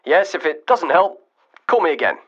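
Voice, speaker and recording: A woman voicing a brisk computer service operator in a learners' lesson, short and loud. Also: low-pitched, very animated, breathy edge, muffled recording, voice pushed down, heavily acted.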